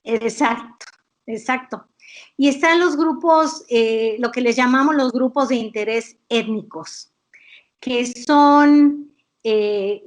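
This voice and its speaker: A woman giving an explanatory interview as an academic expert, in a didactic, deliberate way with hesitant pauses. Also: a little high.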